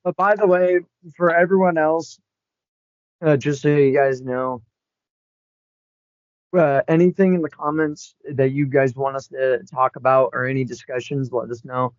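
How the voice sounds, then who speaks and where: A man of about twenty co-hosting a podcast in casual chat, excitable and given to jumping into high shouts. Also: a little slow, no room sound. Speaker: podcast co-host. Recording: dull lo-fi sound, dry.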